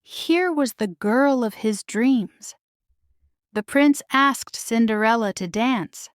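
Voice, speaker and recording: A woman narrating a children's storybook in a measured, expressive, scripted read-aloud style. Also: fairly high pitch, no echo, studio quality. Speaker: storybook reader.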